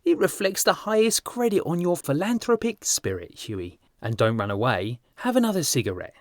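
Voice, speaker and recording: An amused gentleman painter performed by a male audiobook reader who teases with breezy confidence. The pitch rides high and swoops around, with emphatic, flamboyant phrasing in a very dry recording. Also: big pitch swings, a little fast, no echo. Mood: laughing, teasing. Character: amused, worldly, teasing young gentleman painter. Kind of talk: audiobook character dialogue.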